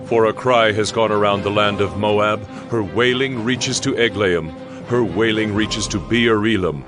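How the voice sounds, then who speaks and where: A man with a very deep voice narrates dramatized scripture. His delivery is solemn and declamatory, leaning firmly on key phrases. Soft music runs quietly behind him. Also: a little slow.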